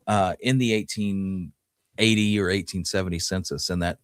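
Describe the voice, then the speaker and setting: A man's voice: low-pitched, steady tone, moderate pace, clear, resonant tone, no room sound, clean studio sound. A man co-hosting a history podcast, talking casually and musing his way through the details. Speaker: podcast co-host.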